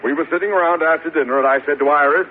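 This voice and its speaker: An actor playing a pompous, sarcastic older boss in a 1940s radio comedy. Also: male voice, fairly high pitch, rapid-fire, close to an everyday voice. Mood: gushing flattery. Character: blustery older male boss, sardonic husband forcing flattery. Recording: tinny, hissy old radio recording.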